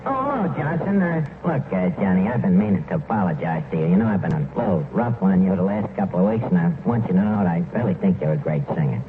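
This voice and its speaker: Wisecracking New York tavern manager, tinny old radio. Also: male voice, low voice, sing-song, quick pace, hardly any pauses. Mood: needling. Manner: hesitant, wisecracking, slangy malapropisms. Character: boastful, wisecracking tavern manager who mangles his grammar. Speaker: tavern manager and comic lead. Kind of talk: 1940s radio comedy acting. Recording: noisy, tinny 1940s radio recording, roomy.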